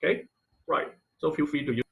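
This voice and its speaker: A man teaching an informal online class and taking questions, speaking haltingly and often repeating phrases as he searches for words. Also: fairly high pitch, expressive, creaky, a bit bright, highs cut off. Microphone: online video-call audio.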